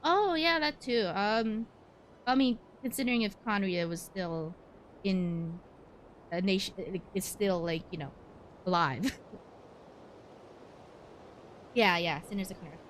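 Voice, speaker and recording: A woman's voice: mid-range voice, animated, a little slow, pauses often, slight background noise, poor audio. A woman giving casual live game commentary, musing aloud about the story as she plays. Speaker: streamer playing a game live. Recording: game music behind. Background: game music.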